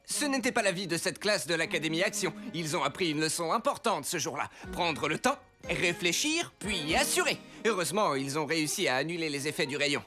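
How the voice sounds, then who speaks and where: Cautionary male mentor, very varied intonation, expressive storyteller, children's cartoon, clear background music. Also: a little high, a little fast. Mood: storytelling. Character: wise, cautionary superhero academy teacher, adult man.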